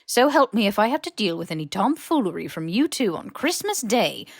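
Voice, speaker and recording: A woman voicing a stern, shrewd older school headmistress in an audiobook, with crisp, formal phrasing and dry exasperation. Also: medium pitch, sing-song, brisk, no room sound. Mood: startled, suspicious. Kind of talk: audiobook character voice.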